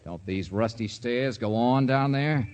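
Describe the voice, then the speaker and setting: An actor playing a cocky, wisecracking private detective in a 1950s radio drama, slangy and sardonic. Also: male voice, mid-range voice, measured pace, noisy background, natural voice. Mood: tough, taunting.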